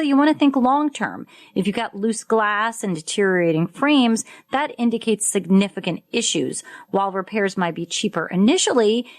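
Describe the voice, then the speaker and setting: A woman with a medium-pitched voice co-hosts an advice show on the radio. She presents semi-scripted practical tips in an instructive, clear manner into a studio microphone. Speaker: co-host of a home improvement radio show.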